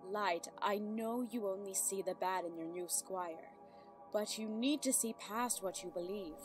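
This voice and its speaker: A woman voicing a wise, regal pony aunt in an animated web series, measured, formal and gently admonishing, with prominent music behind her. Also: medium pitch, close to an everyday voice. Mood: knowing.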